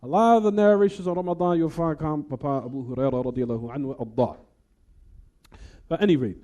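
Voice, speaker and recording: A man teaching a hadith class. He keeps asking the room questions and answering them himself, and he recites Arabic quickly between plain explanations. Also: a little high, mellow. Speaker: Islamic teacher.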